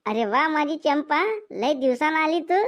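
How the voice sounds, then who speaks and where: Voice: high voice, normal speed, a bit bright. A performer voicing a scolding, sarcastic village father in a comedic cartoon, with a rural Maharashtrian Marathi accent. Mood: teasing. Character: grumbling, mocking, boastful village father. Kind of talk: comedic cartoon skit dialogue.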